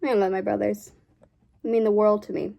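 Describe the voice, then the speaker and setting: A woman's voice: mid-range voice, little pitch movement, fairly quick, vocal fry, noisy background. A young woman vlogging casually while she draws, chatty and rambling, with a slight cough and a voice she says she is losing. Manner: chatty, rambling, self-correcting. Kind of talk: casual drawing vlog chat.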